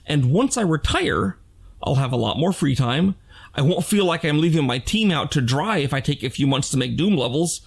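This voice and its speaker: Animated man in his sixties, higher-pitched voice. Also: moderate pace, creaky, slightly rough. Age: 60s. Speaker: game designer and video channel host. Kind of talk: opinion vlog addressed to fans.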